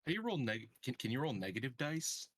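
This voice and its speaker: A man with a husky voice playing a tabletop game, talking casually and a little tentatively. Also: mid-range voice, big pitch swings, brisk, fairly bright tone, no echo, clean studio sound.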